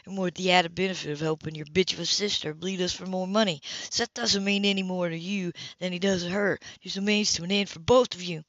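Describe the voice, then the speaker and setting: A woman's voice: fairly low pitch, fairly even intonation, brisk, hardly any pauses, a bit bright, no room sound. A woman reading the part of a furious man who accuses a woman of lying, in a cold, clipped way. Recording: dull lo-fi recording.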